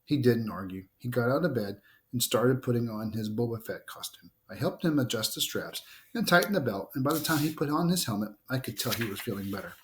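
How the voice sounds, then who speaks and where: A man's voice: mid-range voice, expressive, brisk, slight background noise. Man reading a children's novel aloud. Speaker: book reader.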